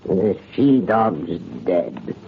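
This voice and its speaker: An actor in an old radio horror drama playing a cruel revolutionary commander who speaks with mocking, deliberate menace. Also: male voice, low voice, lively intonation, creaky, full character voice. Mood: cruel mockery. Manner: mocking, sinister chuckling, deliberate. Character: gloating, cruel male revolutionary butcher commander. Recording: muffled, noisy old radio recording.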